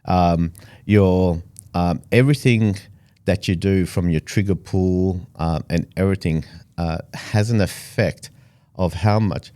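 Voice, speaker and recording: A man's voice: deep voice, unhurried, mellow. A middle-aged Australian man, first language Italian, talking casually as an interview guest with many fillers and restarts. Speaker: engineer guest.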